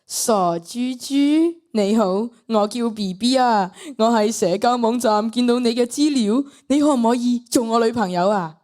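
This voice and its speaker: A woman's voice: fairly high pitch, measured pace, few pauses, darkish tone, lightly acted. A teenage girl with a Hong Kong Cantonese accent playing a florid, over-polite online boyfriend in a student radio drama. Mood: courting. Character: smooth-talking, florid, sugary online boyfriend.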